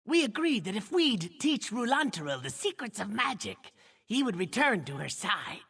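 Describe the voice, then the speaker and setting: A woman voicing a wry, theatrical talking crow with a raspy, croaky voice in a video game. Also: mid-range voice, big pitch swings, moderate pace, a bit bright, full character voice. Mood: wry, knowing. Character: talking crow, crass, loyal child of a lonely witch. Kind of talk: video game character acting. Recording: long cavernous reverb.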